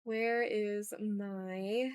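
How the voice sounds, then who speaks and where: A woman's voice: mid-range voice, fairly even intonation, drawn-out words, clean tone, dry recording, studio quality. A woman chatting casually on an art livestream while she draws, informal and rambling, with some swearing and self-deprecation. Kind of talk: casual livestream chat while drawing, reading out viewer comments.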